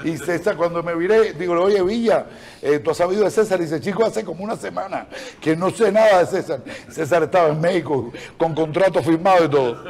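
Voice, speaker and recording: A middle-aged man hosting a talk show in an expressive, joking way. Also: on the high side, vocal fry. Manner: expressive, joking, quick exclamations.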